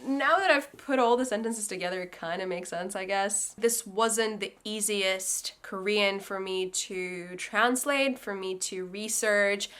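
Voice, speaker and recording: A woman's voice: medium pitch, measured pace, hardly any pauses, fairly bright tone, smooth. A young woman, a native Russian speaker, casually breaking down song lyrics as a learner, thinking aloud and correcting herself as she goes. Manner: chatty, self-correcting, thinks aloud while translating. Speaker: language learning host.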